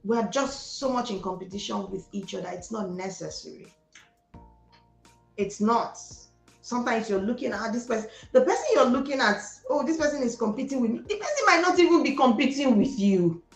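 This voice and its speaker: Igbo-accented woman, gravelly and emphatic. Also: fairly high pitch, lively intonation, a little fast, echoey room, faint music behind, rough recording. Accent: Nigerian Igbo. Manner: emphatic, repeats words for stress, slips into Pidgin. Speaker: psychologist and guest speaker. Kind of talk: motivational conversation.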